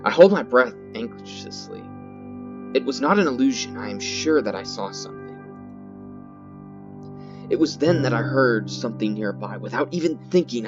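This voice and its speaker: A man reading horror game text aloud in a theatrical, halting way, repeating words and adding his own comments. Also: mid-range voice. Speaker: gaming video host. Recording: dull lo-fi sound with loud music. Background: loud guitar music.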